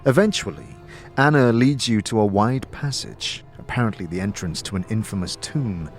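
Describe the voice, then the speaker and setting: A man's voice: fairly low pitch, moderate pace, soft background music. A man narrating a fantasy audio drama in a descriptive, dramatic storytelling style with shifting emphasis. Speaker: narrator and game character in an audio series.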